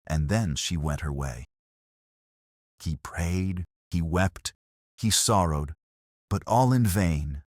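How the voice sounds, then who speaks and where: A man narrating a fairy tale as an audiobook, calm and measured, with expressive, theatrical phrasing. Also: deep voice, no echo, clean studio sound.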